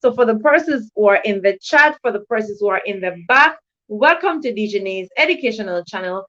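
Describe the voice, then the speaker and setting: A woman's voice: mid-range voice, lively intonation, brisk, a bit bright. A woman hosting a livestream, greeting chat viewers with effusive, repeated welcomes. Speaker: livestream host of an educational channel. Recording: dull lo-fi livestream audio.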